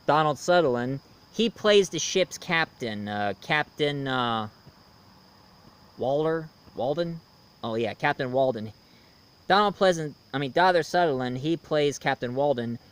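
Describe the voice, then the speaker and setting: A man in his thirties talks through a casual review as the host of his own video. His voice sits a little high and is notably smooth and clean. He speaks off the cuff, halting to find words and often repeating the start of a phrase. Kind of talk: casual unscripted review talk.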